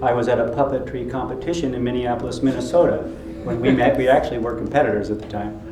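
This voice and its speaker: A man playing a proud, sincere puppeteer who speaks haltingly and earnestly in a live comedy sketch. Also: mid-range voice, fairly even intonation, close to an everyday voice. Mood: sincere, reminiscing. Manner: earnest, self-serious, halting. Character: proud, sincere male puppeteer, fussy about his craft. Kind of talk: live comedy sketch, mock question-and-answer. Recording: echoey room, clearly noisy live recording.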